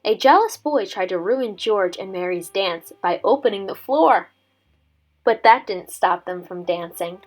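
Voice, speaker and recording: A woman's voice: mid-range voice, animated, unhurried, soft background music. A woman in her twenties speaking as a teacher doing a storybook read-aloud for children. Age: 20s. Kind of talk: casual intro chat and storybook read-aloud.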